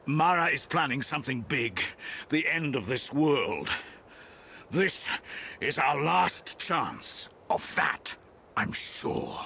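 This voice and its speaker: A man voice acting a weary, grave old keeper of secrets in a video game, halting and sometimes near a whisper. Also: a little high, sing-song, creaky, sharp tone, slight background noise, exaggerated voice. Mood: grave warning.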